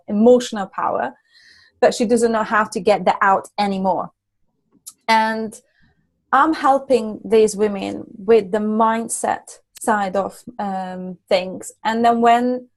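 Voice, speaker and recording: A middle-aged woman from Hungary with a Hungarian accent, talking earnestly as a guest business coach, halting and restarting often. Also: mid-range voice. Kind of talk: casual interview chat.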